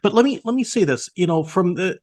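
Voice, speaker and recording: A man's voice: sits high, expressive, brisk, darkish tone. A man whose first language is French, hosting a business interview and asking long, carefully framed questions.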